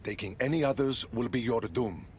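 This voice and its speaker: An actor playing a proud but troubled dead Spartan general in a video game, earnest and solemn. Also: male voice, mid-range voice, normal speed, a little hiss or hum, close to an everyday voice. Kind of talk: dramatic video game acting.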